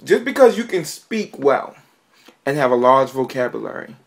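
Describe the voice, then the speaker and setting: A man's voice: medium pitch, very animated, moderate pace. A man co-hosting a casual discussion who laughs often and stops and restarts while searching for words. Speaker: podcast co-host.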